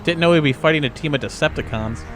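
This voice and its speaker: A man's voice: on the high side, quick pace, soft background music. A man chatting casually and playfully as a tabletop game player, full of banter. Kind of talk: casual chat.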